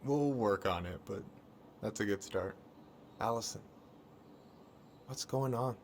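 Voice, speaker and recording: A man's voice: on the low side, slow pace, vocal fry, a little hiss or hum. A man playing a laid-back, supportive young American friend in a video game, colloquial and reassuring. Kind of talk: naturalistic video game dialogue.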